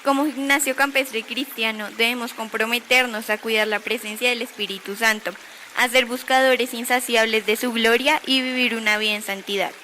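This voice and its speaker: A woman's voice: on the high side, steady tone, normal speed, bright, forceful tone, slight background noise. A woman reading a scripted narration aloud.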